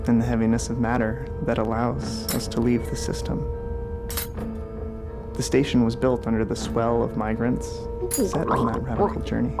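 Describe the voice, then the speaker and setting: A man playing a lonely, philosophical keeper of a space outpost in a sci-fi audio drama, speaking in measured, lyrical monologue. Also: mid-range voice, lightly acted. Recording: music bed under the voice.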